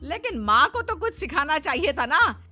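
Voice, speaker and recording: A woman voicing an exasperated middle-aged mother-in-law in a melodramatic comic story, wailing indignantly with drawn-out exclamations. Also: high-pitched, big pitch swings, bright, forceful tone, heavily acted.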